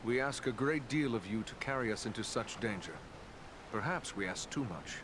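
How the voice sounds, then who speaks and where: A man's voice: medium pitch, expressive, moderate pace, close to an everyday voice. Man as earnest fantasy hero, noisy ambience. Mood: earnest, respectful. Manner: formal, sincere. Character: earnest, respectful male hero on a quest. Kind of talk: dramatic fantasy game acting.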